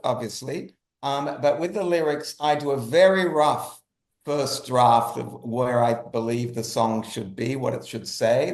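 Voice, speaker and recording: A man from Australia, an interview guest explaining things casually and emphatically, restating himself to be clear. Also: medium pitch, a little mellow. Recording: roomy echo on the voice.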